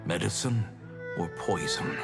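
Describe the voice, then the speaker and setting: A man's voice: sits low, unhurried, creaky. A male actor playing a grave, dying healer in a video game, solemn and sorrowful as he entrusts his legacy. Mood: solemn, anguished. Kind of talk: dramatic video game acting. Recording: loud music over the voice.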